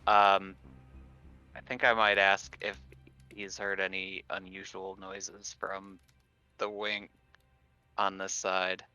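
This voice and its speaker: A male tabletop player speaks very slowly and politely, with a crisp, clean tone. His measured casual talk has faint music underneath. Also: low-pitched, monotone, bright, forceful tone, muffled recording.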